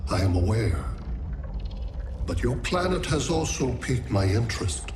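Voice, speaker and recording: An actor playing a cold, calculating male alien who collects worlds, speaking in a measured, detached and formal way. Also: mid-range voice, very animated, background noise, lightly acted. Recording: roomy game cutscene over loud music.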